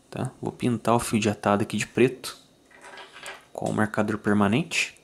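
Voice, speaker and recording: A Brazilian man calmly walking through a fly tying tutorial, checking in with listeners after each step. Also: mid-range voice. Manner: calm, methodical, ends phrases with "tá?".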